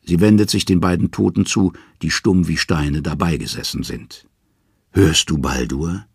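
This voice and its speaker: Deep, gravelly male storyteller. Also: normal speed, no echo, clean studio sound. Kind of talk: scripted dramatic narration of mythic tales. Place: dry studio.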